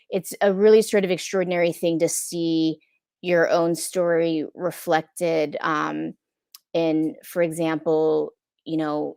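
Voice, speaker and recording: A woman's voice: fairly low pitch, no room sound, clean studio sound. A middle-aged American woman giving an earnest, thoughtful answer on a video-call panel, often checking for agreement. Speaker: film impact producer, guest. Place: indoor room, on a video call.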